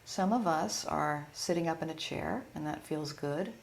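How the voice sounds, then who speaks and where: A woman teaching yoga nidra, gently and soothingly leading a guided meditation in a live online session. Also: low voice, creaky, a little hiss or hum. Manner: soothing, gentle, unhurried invitations. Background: singing bowls and chimes.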